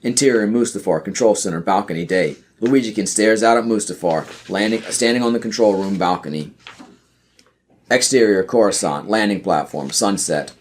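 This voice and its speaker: A man's voice: fairly low pitch, normal speed, a little hiss or hum. A man narrating an audio play, reading out screenplay scene headings and stage directions.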